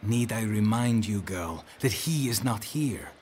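A man's voice: on the low side, animated, a little slow, darkish tone, a little hiss or hum. A man playing a frank, wry older attendant with formal phrasing in an audio drama. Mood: skeptical. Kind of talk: full-cast audio drama acting.

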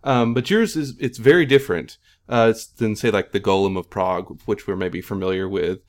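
A man's voice: fairly low pitch, normal speed. A man moderating a casual panel interview, asking meandering, tentative questions and correcting himself as he goes.

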